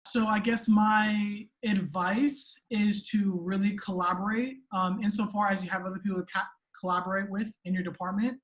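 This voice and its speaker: A woman's voice: medium pitch, fairly even intonation, moderate pace, hardly any pauses, poor audio. A woman language teacher speaking on a video call panel, hesitant and self-correcting, with many ums. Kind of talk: webinar panel discussion.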